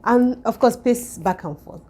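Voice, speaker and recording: A woman vlogger with a croaky, hoarse voice, recovering from illness, chatting at home in a relaxed way with frequent fillers and restarts. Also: fairly high pitch, measured pace. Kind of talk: casual vlog chat.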